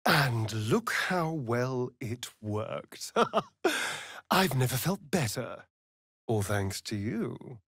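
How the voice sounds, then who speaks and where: A theatrical, swooping vampire rogue. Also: male voice, medium pitch, big pitch swings, drawn-out words, fairly bright tone, husky, no echo, studio quality, heavily acted. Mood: exultant. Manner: theatrical, drawling, arch, with sighs and chuckles. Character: sardonic, vain, theatrical male vampire rogue. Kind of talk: video game character voice lines.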